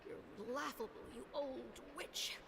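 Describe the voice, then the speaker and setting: An actress playing a stubborn, ailing old woman doctor in a video game, weary and deliberate in a dramatic style. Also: high-pitched, heavily acted. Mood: weary, bitter. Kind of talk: dramatic scripted game dialogue. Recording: clearly noisy game stream, ambient sound behind.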